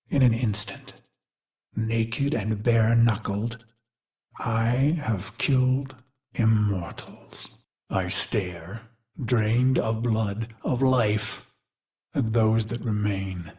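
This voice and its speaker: A haunted artificial mind from a video game, once a brutal warrior and now a devoted servant. He speaks very slowly and deliberately in a middle-pitched male voice, telling his story with theatrical weight. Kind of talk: dramatic scripted game monologue.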